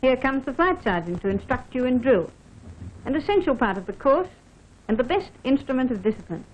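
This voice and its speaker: High-pitched woman narrating a vintage training film. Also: sing-song, fairly quick, slight background noise. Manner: formal, instructive, addressing the listener directly. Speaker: training film narrator, air force officer. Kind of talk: scripted instructional film narration. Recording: dull band-limited vintage film soundtrack.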